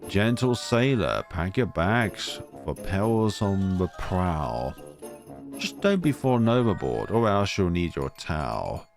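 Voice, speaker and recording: A man's voice: deep voice, big pitch swings, slow, over music, exaggerated voice. A man voicing a cheeky, sprightly talking flower from a visual novel, lilting melodically through taunting rhymes. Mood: playful warning. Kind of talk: visual novel character read aloud.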